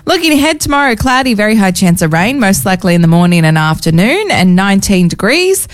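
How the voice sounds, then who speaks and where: Australian female breakfast radio co-host, easygoing, chatty, warm banter. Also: mid-range voice, very animated, fast talker. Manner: bright, chatty, laughs easily. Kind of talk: casual radio chat and weather read. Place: radio studio.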